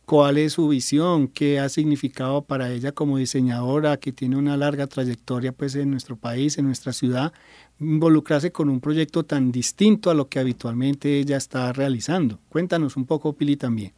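A man's voice: mid-range voice, fairly even intonation, normal speed, warm tone, no echo. A Colombian man hosting a panel interview and passing the word between guests. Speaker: interview host, moderator. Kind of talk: spoken moderation of a panel.

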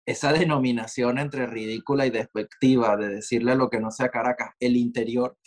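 A man's voice: mid-range voice, steady tone, fairly quick. Reflective Venezuelan man, easygoing talk. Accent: Venezuelan Spanish. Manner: reflective, digressive, with many fillers and asides. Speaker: interview guest, writer. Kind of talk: conversational interview.

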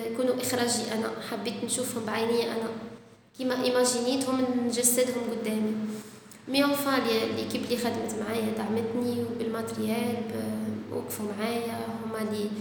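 A woman's voice: on the high side, creaky. A woman with an Algerian Arabic accent, a screenwriter speaking thoughtfully in a casual interview and mixing in French words. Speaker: screenwriter guest. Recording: echoey room with long reverb, faint noise.

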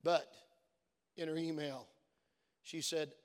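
Pastor guy preaching, a bit high, voice kinda worn, long church echo. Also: flat delivery, slow, clean tone. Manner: earnest storytelling that builds to fervent preaching. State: voice worn from many phone calls. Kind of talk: sermon. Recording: long reverb of a church hall.

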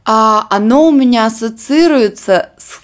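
A woman's voice: a little high, unhurried. A young woman from Crimea with a southern Russian accent, co-hosting a podcast in casual chat. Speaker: podcast co-host.

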